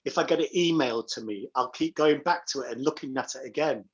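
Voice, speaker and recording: A man's voice: medium pitch, fast talker, dull audio. A middle-aged or older man chatting casually and enthusiastically as an interview guest, repeating phrases for emphasis. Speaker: pantomime dame, interview guest. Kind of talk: casual chat.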